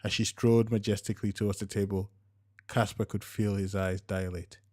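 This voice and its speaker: A man's voice: lowish voice, little pitch movement, normal speed, darkish tone. A man reading scripted fiction as a narrator, telling a romantic story in prose.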